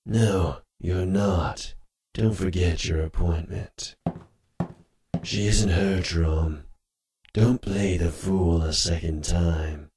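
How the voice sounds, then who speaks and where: Wolf companion voiced by a man: very low, unhurried, stern, terse and blunt, fantasy audio drama. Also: steady tone, a little mellow. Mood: stern warning. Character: gruff, stern male wolf, a wary nocturnal companion.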